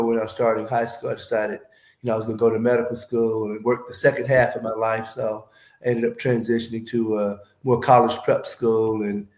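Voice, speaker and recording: A man's voice: mid-range voice, roomy sound. A man in his fifties from Alabama with a Southern accent that has a Midwestern tinge, talking casually as an interview guest and often saying "you know". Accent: Southern US with Midwestern speech. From Alabama, USA. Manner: relaxed, frequent "you know" fillers.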